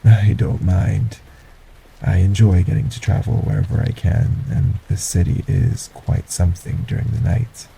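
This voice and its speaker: Polite, quietly menacing stranger, played by a man: dark mellow tone, soft breathy delivery, formal manners, faint background noise. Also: low-pitched, fairly even intonation, a little slow, full character voice, rough recording. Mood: smooth, courteous. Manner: formal, courteous, softly menacing. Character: polite sinister male stranger, secretly a kidnapper. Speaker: audio roleplay voice actor. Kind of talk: audio roleplay acting.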